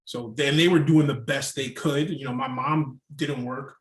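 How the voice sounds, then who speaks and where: A man's voice: medium pitch, steady tone, normal speed, a crowd in the background. A man, a podcast guest telling stories in a rambling way, full of "like". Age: 30s. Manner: storytelling, rambling, with frequent "like" fillers. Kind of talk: casual podcast conversation. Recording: roomy echo.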